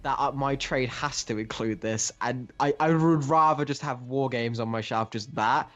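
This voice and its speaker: Guy on a comics podcast panel, average pitch, rambly and opinionated, stumbles around his point. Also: normal speed, fairly bright tone, lo-fi sound, soft background music. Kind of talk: casual comic book discussion.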